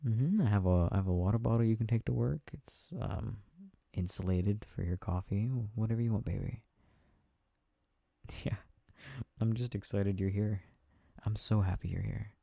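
Man as tender, teasing boyfriend for ASMR roleplay; low, very mellow voice, soft and close. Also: normal speed, vocal fry, dry recording. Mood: tender, contented. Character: affectionate, tired, playful boyfriend getting ready for bed. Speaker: audio roleplay performer. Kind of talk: intimate boyfriend ASMR roleplay, casual chat.

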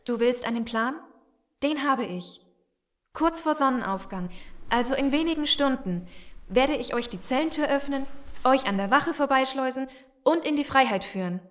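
Audio drama: desperate young female turncoat, high voice, earnest and urgent. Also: lively intonation, moderate pace. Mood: determined. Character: Sophie, desperate young woman betrayer seeking escape. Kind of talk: German audio drama acting.

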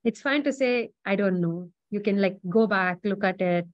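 A woman's voice: mid-range voice, moderate pace, no room sound, muffled recording. A graduate student woman on an advice panel, talking casually and encouragingly with many filler words.

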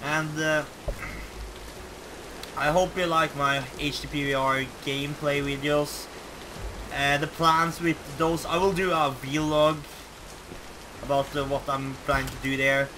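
A young Norwegian man, with Norwegian as his first language, giving casual let's play commentary in English with a Norwegian accent, swearing and reacting loudly to the game. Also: a little high, little pitch movement, measured pace, pauses often. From Norway. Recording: game sound behind, rain noise.

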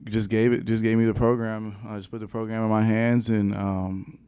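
A young man, a college basketball player, answering questions at a post-game press conference in a halting, soft-spoken way with many fillers, into a table microphone. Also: lowish voice, little pitch movement, clear, resonant tone. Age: young adult. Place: press conference room with sponsor backdrop.

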